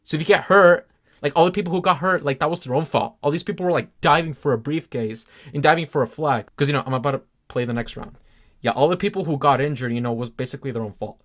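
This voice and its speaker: A man's voice: on the high side, quick pace, a bit bright. A young man casually telling his story in a vlog, chatty and full of "like" and "um". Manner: chatty, filler-heavy, lots of "like". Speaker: YouTuber recounting a game show.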